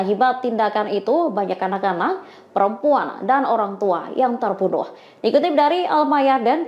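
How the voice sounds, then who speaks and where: Female newsreader, slightly high pitch. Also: a little mellow, lo-fi sound. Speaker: news narrator. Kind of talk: scripted news narration.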